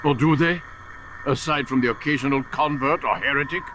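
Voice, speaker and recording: An actor playing a calculating older master assassin in a video game, philosophical and condescending in a theatrical, oratorical way. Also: male voice, mid-range voice, a little fast, fairly bright tone, a little hiss or hum, close to an everyday voice. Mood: disdainful regret. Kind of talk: dramatic video game cutscene acting.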